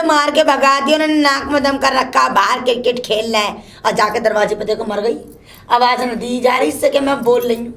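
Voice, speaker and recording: A woman playing a shrill, cursing old mother-in-law who keeps scolding her daughter-in-law. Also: a bit bright. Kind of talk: comic one-woman sketch.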